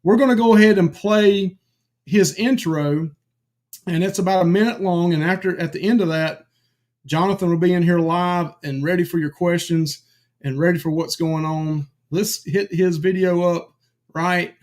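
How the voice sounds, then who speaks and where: A man's voice: high voice, steady tone, moderate pace, darkish tone. A man co-hosting a live stream in casual chat, greeting viewers by name with lots of fillers while he is losing his voice. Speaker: livestream co-host.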